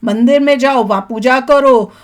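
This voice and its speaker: A woman's voice: sits high, moderate pace. A woman with an Indian accent hosting a reaction video, giving casual, opinionated commentary in a chatty way and repeating phrases for emphasis.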